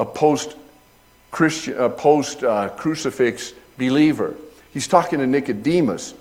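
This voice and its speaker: A man's voice: medium pitch, darkish tone. A middle-aged man delivers an expository sermon in an ordinary mid-range voice. He explains each point patiently, then lifts into emphatic, ringing delivery when reading scripture aloud. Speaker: pastor teaching scripture.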